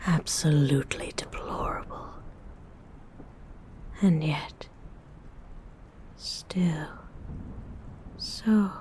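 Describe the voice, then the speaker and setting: A woman voicing a haughty, seductive female vampire in a breathy, teasing whisper for an ASMR roleplay. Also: sits low, darkish tone, heavily acted. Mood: disdainful, intrigued. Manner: slow, haughty, teasing, formal wording.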